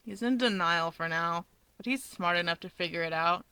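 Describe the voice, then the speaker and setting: A woman reading a story aloud in an expressive narrating style. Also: fairly low pitch, moderate pace, smooth, no echo. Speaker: fan fiction reader.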